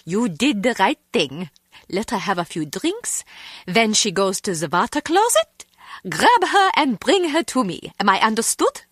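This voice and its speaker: A woman's voice: mid-range voice, very animated, a little slow, a bit bright, no echo, studio quality. A woman playing a vain, menacing club singer in a video game, speaking theatrically and condescendingly with a German accent. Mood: cold, commanding.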